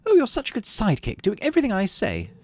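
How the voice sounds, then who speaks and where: A man's voice: high-pitched, huge pitch swings, fast talker, no room sound, pitched above the performer's own voice, full character voice. A man voicing a bossy, spoiled, posh colony girl in a video game, with an affected whiny, haughty manner. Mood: smug, dismissive.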